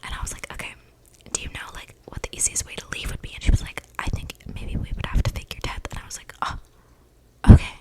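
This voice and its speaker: A breathy whisper carries this eccentric young female vampire, played by a woman in an ASMR roleplay. She rambles like a chatty loner, slangy and flippant, with deadpan asides. The microphone is close enough to catch her breaths. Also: fast talker, lightly acted. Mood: chatty, confiding. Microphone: close microphone picking up breaths.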